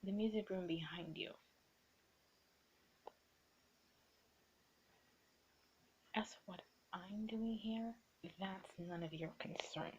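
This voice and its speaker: A woman's voice: mid-range voice, normal speed, muffled recording, close to an everyday voice. Woman acting as teasing young art student, noisy recording. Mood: curt, exasperated. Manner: coy, teasing, half-whispered delivery. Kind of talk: improvised ASMR roleplay acting.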